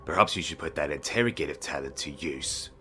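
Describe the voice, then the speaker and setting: A man playing a haughty, gruff fantasy village mayor who talks in a formal, condescending way in an audio drama. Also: extremely low pitch, lively intonation, fairly quick, pushed and bright, soft background music, full character voice. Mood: irritated, defensive. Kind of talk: fantasy audio roleplay acting.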